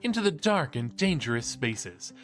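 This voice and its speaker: A man's voice: medium pitch, sing-song, moderate pace, a bit bright, soft background music. A man playing an eager young college podcaster and ghost believer in an audio drama, with a showy, playful delivery. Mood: showy, enthusiastic. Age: young adult. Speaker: podcast co-host character.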